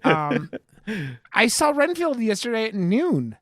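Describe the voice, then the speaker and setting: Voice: mid-range voice, big pitch swings, takes it slowly, no room sound, clean studio sound. A podcast host chatting casually, with teasing, profane joking. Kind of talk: casual banter on a film review podcast.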